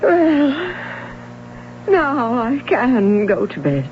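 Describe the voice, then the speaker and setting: An actress playing a tired, insistent middle-aged mother in a 1970s radio drama, speaking in a measured way. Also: on the high side, big pitch swings, a little hiss or hum, full character voice. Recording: lo-fi old radio broadcast.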